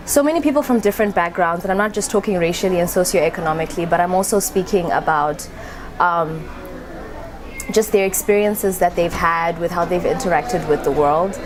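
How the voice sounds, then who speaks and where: A woman's voice: on the low side, brisk. A young woman, a university student, giving a casual answer in an interview. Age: young adult. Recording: noticeable background ambient noise.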